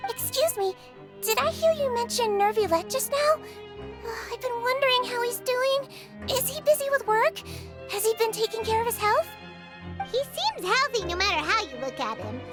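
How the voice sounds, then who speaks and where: A woman voicing a small, gentle nonhuman nurse in a game, speaking softly and caringly over game music. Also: very high voice, animated, normal speed. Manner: sweet, soft, caring and chirpy. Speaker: game character.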